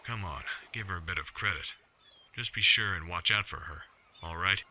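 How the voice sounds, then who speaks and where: Older military commander, low voice, very sharp and forceful, paternal, authoritative, audio drama. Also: male voice, normal speed, slight background noise, heavily acted. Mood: steady, concerned. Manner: authoritative, reassuring.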